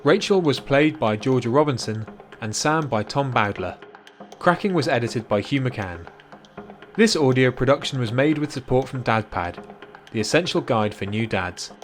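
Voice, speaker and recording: A man's voice: mid-range voice, lively intonation, moderate pace, faint music behind. A man announcing scripted production credits as host of an audio programme.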